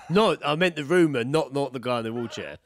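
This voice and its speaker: A man's voice: mid-range voice, animated, a little fast. A British man co-hosting a podcast in casual, jokey, sweary banter, sometimes reading stories out. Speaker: podcast co-host.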